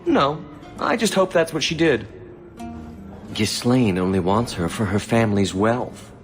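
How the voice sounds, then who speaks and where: Actor as cocky, lively young elf courtesan. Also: male voice, medium pitch, measured pace, faint music behind, natural voice. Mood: teasing, scornful. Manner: sly, teasing, sardonic. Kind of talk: dramatic video game dialogue.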